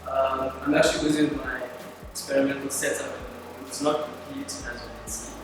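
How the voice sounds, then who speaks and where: Flat-toned man from Australia. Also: mid-range voice, brisk, over music. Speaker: master's student. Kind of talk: self-introduction. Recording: distant, reverberant hall with noise.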